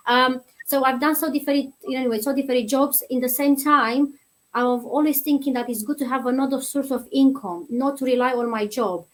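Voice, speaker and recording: A woman's voice: high-pitched, fairly even intonation, brisk. A woman in her thirties from Romania, a guest on an online show, talking in a friendly, chatty way with many fillers. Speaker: guest, nursery teacher. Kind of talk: interview answers.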